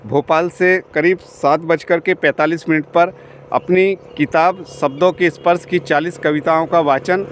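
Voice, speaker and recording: A man in his forties recites his poems in a high voice, slowly and rhythmically, with pauses between lines. He is on a bicycle outdoors, and faint traffic hums behind him. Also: fairly even intonation. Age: 40s. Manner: measured, rhythmic reading with pauses. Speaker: poet. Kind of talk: poetry recitation while cycling.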